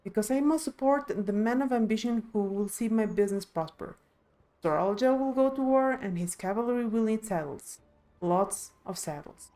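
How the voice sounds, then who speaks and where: Woman doing a proud saddle seller from a video game, firm, all business, drives a hard bargain, soft mellow tone. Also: medium pitch, natural voice.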